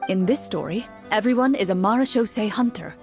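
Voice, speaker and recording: Game master character, played by an actress: composed woman, medium pitch, polite, clear-headed explainer. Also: expressive, moderate pace, darkish tone, soft background music, close to an everyday voice. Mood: composed, helpful. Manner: orderly, explanatory. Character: composed, courteous female tabletop game master. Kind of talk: video game voice acting.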